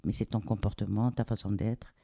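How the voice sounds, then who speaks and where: A middle-aged woman from Algeria, speaking reflectively and pausing to search for words in a casual interview. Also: rumbling low, fairly even intonation, gravelly, warm tone, no echo, clean studio sound. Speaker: interviewee, the host's mother.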